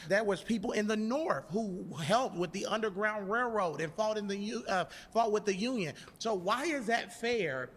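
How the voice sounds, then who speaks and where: A man's voice: high voice, normal speed, hardly any pauses, in a large hall. A man in his thirties in a heated political debate, speaking emphatically and insistently. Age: 30s. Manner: emphatic, insistent, pushes back hard. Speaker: debate guest.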